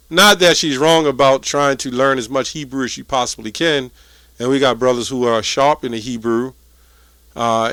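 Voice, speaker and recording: A man's voice: medium pitch, a bit bright, no room sound. Man preaching informally, close dry sound. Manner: colloquial, with rhetorical check-ins like "right?". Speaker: religious teacher. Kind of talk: informal preaching and scripture commentary.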